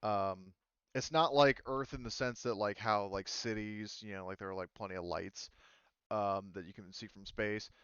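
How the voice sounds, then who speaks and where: A man with a smooth, lowish voice explains a tabletop game as its game master. He talks casually and hesitantly, rambling in an easygoing way. The sound is close and somewhat dull. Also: steady tone, normal speed, fairly bright tone, no room sound.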